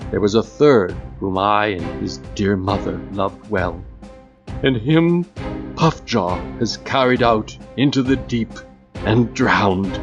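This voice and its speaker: Man acting a slow, low-voiced grieving mouse father. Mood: grieving, rallying. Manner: solemn, oratorical. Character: grieving, wrathful mouse father rallying his people. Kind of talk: dramatized storybook audiobook acting. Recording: music under the voice.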